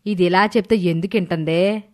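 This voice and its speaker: A woman's voice: lowish voice, fairly even intonation, moderate pace, close to an everyday voice. A bossy middle-aged mother-in-law in a family story, acted by a woman. She is harsh, angry and domineering.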